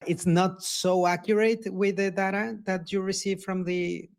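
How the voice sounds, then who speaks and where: Mexican-accented founder, earnest and repetitive. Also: male voice, sits high, little pitch movement, measured pace. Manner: earnest, repeats words, non-native phrasing. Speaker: startup founder guest. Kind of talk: casual interview answers.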